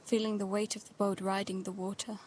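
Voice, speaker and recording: A woman playing a protective young girl who narrates her life at sea in a hushed, intimate way for an audio drama. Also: medium pitch, flat delivery, brisk, slight background noise, natural voice. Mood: numb, reflective. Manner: hushed, breathy, often near whispering. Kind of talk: first-person scripted audio drama narration.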